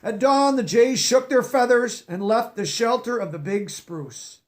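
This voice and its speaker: A man's voice: extremely high pitch, unhurried. A man reading a picture book aloud with expression, stopping now and then to explain words for young listeners. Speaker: storybook reader.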